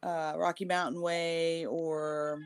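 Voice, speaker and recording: A woman singer in her thirties chatting on a video call, talkative and quick to laugh. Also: deep voice, flat delivery. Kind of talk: casual video-call chat.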